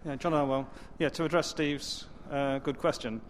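A man's voice: a little high, fairly even intonation, normal speed, in a large hall, a little hiss or hum. A man answering a question at a technical meeting, stammering at the starts and working through examples. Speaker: co-author answering from the floor. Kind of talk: open-floor discussion.

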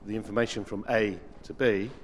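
A man raising a question from the floor at a technical meeting, halting and restarting his phrases. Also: lowish voice, steady tone, in a large hall, a little hiss or hum. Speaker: meeting participant asking a question. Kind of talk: open-floor discussion.

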